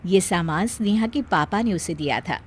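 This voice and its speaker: A woman's voice: on the low side, animated, moderate pace, husky. A woman narrating a scripted moral story as a storyteller.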